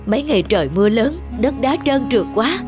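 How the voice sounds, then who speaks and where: A woman's voice: on the high side, expressive, fast talker, a little mellow, close to an everyday voice. A woman voicing a strict, fretful middle-aged master carpenter in a cartoon folk tale, with a dramatic, exclaiming delivery. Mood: wondering. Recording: music behind the voice.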